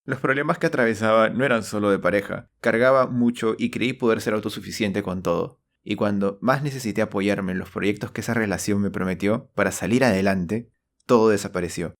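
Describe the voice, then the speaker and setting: A man's voice: on the low side, a little fast. A young man giving an earnest, confessional scripted narration of his own life as a storyteller. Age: young adult. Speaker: storyteller and channel host. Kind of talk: scripted autobiographical narration with acted dialogue. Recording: voice over background music.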